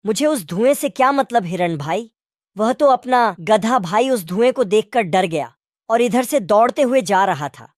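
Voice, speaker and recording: A woman voicing a sensible male jackal in a children's animated story, questioning and reasoning as he talks. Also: medium pitch, measured pace, no room sound, studio quality, lightly acted. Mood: explanatory.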